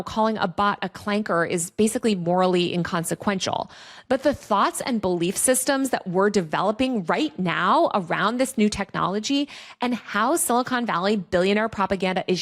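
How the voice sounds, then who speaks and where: A woman with a medium-pitched voice presents commentary straight to her viewers. She sounds like a reporter explaining her beat, articulate and earnest. Her phrasing stays lightly conversational throughout. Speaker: tech reporter and video host. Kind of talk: video essay commentary to viewers.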